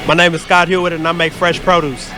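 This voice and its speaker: A man's voice: fairly high pitch, flat delivery, quick pace. An American man, a music producer, answering interview questions about himself in a casual way. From St. Louis, United States.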